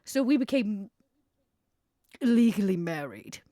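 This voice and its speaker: A woman playing a scheming, worldly female vampire businesswoman in a tabletop roleplay, speaking in a theatrical, deliberate, insinuating way. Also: medium pitch, very animated, warm tone, no echo, studio quality. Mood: grave, confiding.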